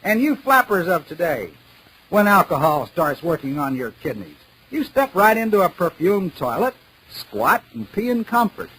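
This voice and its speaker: A man's voice: sits high, very animated, normal speed, fairly bright tone, a little hiss or hum, poor audio. A man playing a pompous, mock-serious radio announcer giving an oratorical comedy speech in 1940s style on an old 78rpm record. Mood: mock-solemn.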